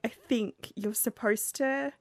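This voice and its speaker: A woman's voice: a little high, steady tone, slow, no room sound. A young woman co-hosting a podcast in casual chat, laughing easily and reading passages aloud. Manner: reads passages with put-on voices, laughs easily. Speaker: podcast co-host.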